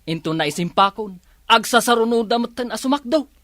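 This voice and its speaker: A man's voice: very high-pitched, animated, fairly quick, a bit bright, no echo, studio quality. A man playing a stubborn, self-righteous husband in a radio drama, argumentative and boastful. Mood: boastful, indignant.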